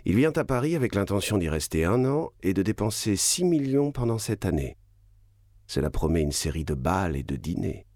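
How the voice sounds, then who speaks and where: An actor reading the part of a scheming banker baron who speaks in a hushed, placating way. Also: male voice, low voice, big pitch swings, normal speed, no room sound, clean studio sound. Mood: formal. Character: smooth scheming banker baron, middle-aged man.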